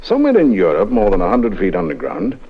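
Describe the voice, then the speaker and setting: British intelligence captain, genteel, breezy, very lively intonation, muffled old radio recording. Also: male voice, fairly low pitch, fast, husky, exaggerated voice. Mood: brisk, persuasive. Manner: clipped, understated, genteel upper-class phrasing. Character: suave, breezy male British intelligence captain recruiting an agent. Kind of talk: 1950s radio drama acting.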